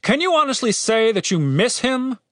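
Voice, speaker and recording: A cynical leader of dungeon guards in a fantasy audiobook, played by a man. He is blunt and exasperated, with his voice pushed up high in complaint. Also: big pitch swings, moderate pace, a bit bright, no echo, clean studio sound, heavily acted.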